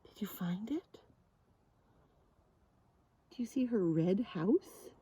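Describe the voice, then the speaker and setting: Expressive woman reading to young children, swooping pitch. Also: slow pace, breathy edge, no echo. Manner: gentle, sing-song, exaggerated expression for preschoolers. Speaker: early learning teacher reading a picture book aloud. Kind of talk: interactive read-aloud for young children.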